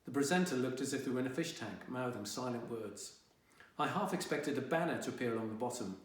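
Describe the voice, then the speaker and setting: A man's voice: mid-range voice, lively intonation, fast, distant mic, close to an everyday voice. A man voicing a sullen, indignant fifteen-year-old boy telling his own story in a wry, exasperated way. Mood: wry, uneasy. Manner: wry, dramatic, exasperated teenage grumbling. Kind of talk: first-person young adult audiobook reading. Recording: very echoey, reverberant room.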